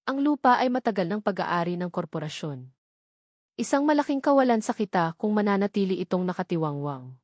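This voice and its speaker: A woman voicing a cold, authoritative male chief executive in an audio drama, smooth and formal. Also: sits high, very animated, a little fast, no echo, clean studio sound. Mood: cold, persuasive. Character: smooth, cold, authoritative corporate CEO villain.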